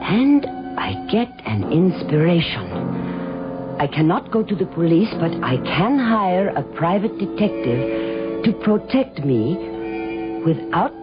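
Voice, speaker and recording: A woman's voice: lowish voice, very animated, slow, loud music behind the voice. An actress playing a cornered, proud and wary woman, speaking formally and precisely in a radio drama. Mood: anxious resolve. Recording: dull lo-fi radio recording.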